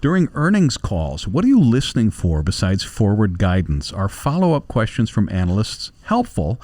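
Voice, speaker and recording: A man's voice: lowish voice, wildly swooping pitch, moderate pace, darkish tone, no room sound. A man hosting a question-and-answer program, presenting and reading out listener questions. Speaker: host.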